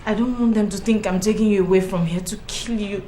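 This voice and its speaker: An actress playing an anxious young wife who speaks haltingly and hesitantly. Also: female voice, mid-range voice, steady tone, dark tone, roomy sound, a little hiss or hum, close to an everyday voice. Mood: fearful. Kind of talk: film drama acting.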